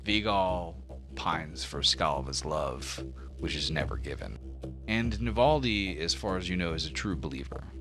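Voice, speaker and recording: Male game master, extremely low voice, descriptive but casual fantasy narration over clear music. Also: animated, a little slow. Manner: descriptive storytelling with casual asides.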